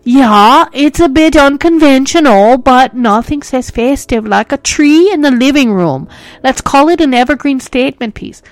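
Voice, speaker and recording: A woman's voice: sits high, lively intonation, normal speed, no room sound, voice pushed up. A woman voicing a cheery Germanic tribesman in a singsong, bouncy delivery with a mock Swedish accent.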